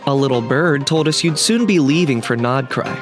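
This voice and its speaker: No-nonsense young man, voiced by a man; brisk, mid pitch, under heavy score. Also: natural voice. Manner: brisk, matter-of-fact. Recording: game audio with loud music.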